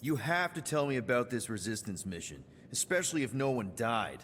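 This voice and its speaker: An actor playing an upbeat, awkward young leader of a space crew in a video game, talking in a hesitant, joking, rambling way. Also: male voice, mid-range voice, big pitch swings, fairly quick, a bit bright, a little hiss or hum. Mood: curious. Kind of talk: naturalistic video game acting.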